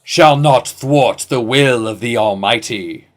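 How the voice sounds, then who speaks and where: A man's voice: medium pitch, slow, lightly acted. Man playing a thundering, fanatical preacher villain. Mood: righteous fury. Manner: pompous, thunderous declaiming. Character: fuming, fanatically pious male stevedore, a preaching villain.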